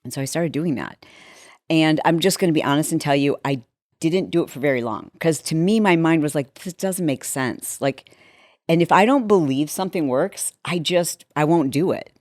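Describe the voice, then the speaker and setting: A woman in her fifties speaks in a low, slightly hoarse voice. She chats casually and candidly, like a host walking viewers through a personal routine. The recording is dry and close, with very little room sound. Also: normal speed, a little mellow, dry recording. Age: 50s. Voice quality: deepened, slightly hoarse voice from a vocal cord injury. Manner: candid, conversational, with acted-out asides. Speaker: health and lifestyle show host.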